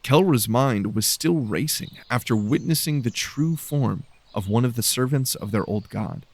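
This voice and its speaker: A man's voice: mid-range voice, normal speed. A man reading a scripted science fiction story as an audiobook narrator.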